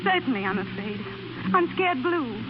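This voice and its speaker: An actress playing a devoted, anxious and stubborn wife, earnest and emotional in 1930s radio style. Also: female voice, high-pitched, big pitch swings, normal speed, noisy background. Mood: pleading. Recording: muffled 1930s radio recording with music.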